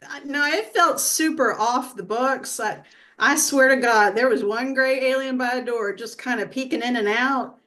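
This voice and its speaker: A woman playing a brash, earthy abductee in a comedy sketch read, telling it in a blunt, folksy, deadpan way. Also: high voice, normal speed. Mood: insistent.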